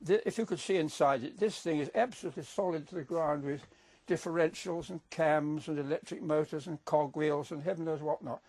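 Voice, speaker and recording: A man past seventy talks in a fairly high voice. He explains things off the cuff like a veteran showing visitors round, halting and restarting, with emphasis on key words. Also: a little mellow. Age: over 70. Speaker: veteran giving a guided explanation. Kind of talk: unscripted explanation.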